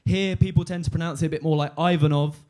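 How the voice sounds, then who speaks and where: A man in his twenties doing a deadpan stand-up set on a comedy club stage, halting with fillers and restarts. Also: a little high. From London. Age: 20s.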